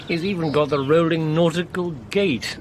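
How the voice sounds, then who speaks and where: An actor playing a worldly middle-aged English doctor, wry and urbane, in a radio drama. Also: male voice, a little high, measured pace, natural voice. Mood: amused. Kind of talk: British radio drama acting. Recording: faintly noisy radio play recording.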